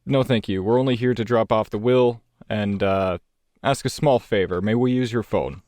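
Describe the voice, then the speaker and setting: A man plays a polite private investigator in a tabletop game, with a fairly low voice. He is formal, measured and businesslike. The sound is close and dry. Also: a little mellow, no echo, close to an everyday voice.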